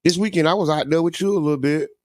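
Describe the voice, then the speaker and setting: A man's voice: a little high, racing, no room sound, studio quality. Playful man co-hosting a morning show. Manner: playful, teasing, gives shout-outs. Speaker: radio show co-host. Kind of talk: casual morning show chat.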